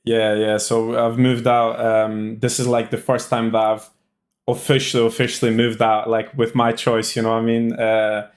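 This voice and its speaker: Young man, fairly even-toned interview guest. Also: mid-range voice, moderate pace. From Albania, moved to the UK at six. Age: young adult. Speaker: interview guest, student trader. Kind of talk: casual interview answers, personal storytelling.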